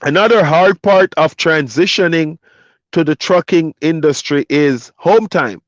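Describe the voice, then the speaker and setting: A man's voice: fairly high pitch, a little slow, no echo, lo-fi sound. A man hosting a live stream as a trucker, talking casually and reading chat comments aloud. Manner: laid-back, loose, reads chat comments aloud.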